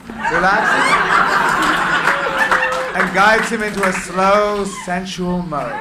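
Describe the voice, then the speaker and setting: A man reading screenplay stage directions aloud as a narrator, in deliberate, theatrical phrases. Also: high voice. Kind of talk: dramatic live script reading. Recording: echoey room with clear background noise.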